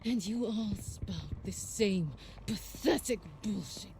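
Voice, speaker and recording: An actress playing a vengeful, defiant Soviet sniper, speaking with deliberate contempt in a game. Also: female voice, medium pitch, very noisy. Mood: cold menace.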